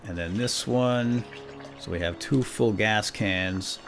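A man's voice: fairly low pitch, takes it slowly, creaky, faint music behind. A man giving casual let's-play commentary, playfully talking to himself with mild folksy exclamations. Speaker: gaming YouTuber, let's-play host.

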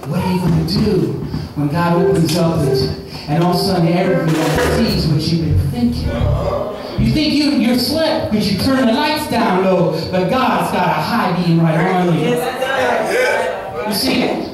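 A chaplain who grew up in West Africa, preaching a guest sermon in a folksy way, with direct questions to the congregation. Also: male voice, sits high, moderate pace. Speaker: chaplain and pastor. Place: church sanctuary. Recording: echoey church room from far off with music.

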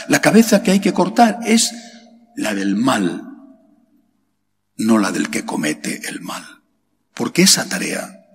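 A middle-aged man from Spain, a priest preaching a homily slowly and emphatically, asking rhetorical questions and leaping up in pitch on key words, into a stand mic in a large chapel. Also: vocal fry, fairly bright tone, slightly rough. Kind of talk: sermon. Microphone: stand mic at lectern. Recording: long hall reverb, faint background hiss.

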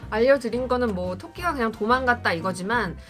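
Chatty high-voiced woman. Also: a little fast, music in the background. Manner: chatty, informal, reactive. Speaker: co-host. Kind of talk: casual chat and storytelling.

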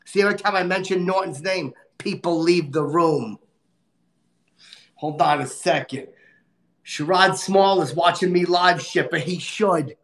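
A man playing a crude, boastful, short-tempered male comic, cursing and griping as he hosts a livestream in a nasal, gravelly put-on voice. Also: high-pitched, measured pace, fairly bright tone. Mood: crude ranting. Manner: profane, insulting, exasperated rants. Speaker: livestream host. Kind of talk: livestream comedy character rant.

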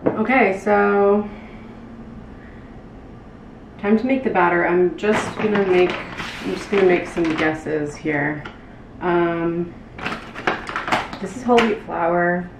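Low-voiced woman, distant in a noisy kitchen. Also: creaky. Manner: loose, halting chat with frequent "like". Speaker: cooking show host. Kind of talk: casual cooking demonstration, talking while working. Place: small indoor kitchen with tiled floor. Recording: roomy, distant mic with loud room noise.

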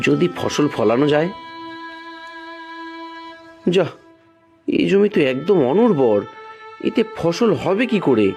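A poor farmer and husband from a cartoon, pitched fairly high. He is anxious and scheming, exclaiming and coaxing theatrically, with music underneath. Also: creaky, darkish tone, heavily acted. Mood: fretting. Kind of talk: cartoon voice acting.

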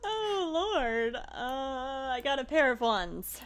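A woman's voice: sits high, sing-song, takes it slowly, clear, resonant tone. A woman playing a tabletop game, chatting casually and jokingly.

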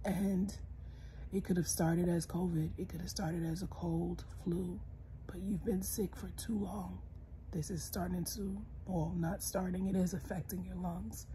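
A young woman lying in bed and talking casually to her phone camera, congested and hoarse, tired and soft-spoken, sometimes dropping to a whisper. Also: on the low side, fairly even intonation, a little slow, slight background noise, low-quality recording. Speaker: vlogger. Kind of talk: casual personal vlog. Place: bedroom, lying in bed indoors. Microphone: phone camera close, held low.

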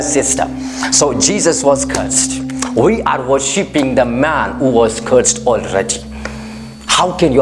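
A man from India preaching a sermon, emphatic and repetitive, with frequent restarts. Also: fairly high pitch.

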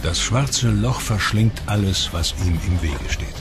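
Deep-voiced male documentary narrator. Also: measured pace. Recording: voice-over with background music.

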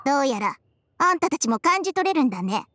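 A woman's voice: high-pitched, very animated, quick pace, pushed and bright, no echo, clean studio sound, heavily acted. A woman voicing a small, worldly female snake spirit in an anime game, with a brusque, knowing manner. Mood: grave, knowing.

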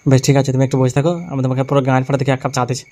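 Man playing fast-talking worried poor village tea seller. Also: medium pitch, monotone, warm tone, close to an everyday voice. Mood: obliging. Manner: complaining, repeats himself. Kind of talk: amateur funny cartoon dub. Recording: music behind the voice. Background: music.

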